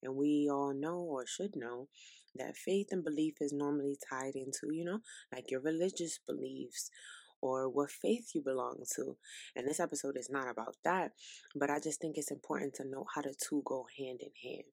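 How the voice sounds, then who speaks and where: A woman's voice: extremely low pitch, normal speed, darkish tone, no room sound. A young woman hosting her own podcast, talking casually and directly to her listeners in an informal, chatty way. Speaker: podcast host. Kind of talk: casual solo podcast monologue.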